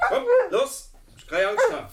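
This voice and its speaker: An actor playing a chatty, well-meaning young-ranking Swiss policeman in a radio play, colloquial and halting, with a Swiss accent. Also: extremely high pitch, huge pitch swings, creaky, heavy background noise, heavily acted. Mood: helpful.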